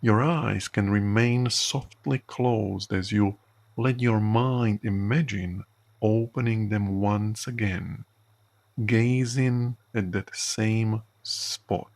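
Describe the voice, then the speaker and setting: A man narrating a guided sleep hypnosis session as a hypnotherapist, soothing and measured, with frequent pauses between phrases. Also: lowish voice, fairly even intonation, vocal fry. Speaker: hypnotherapist guiding a recorded session.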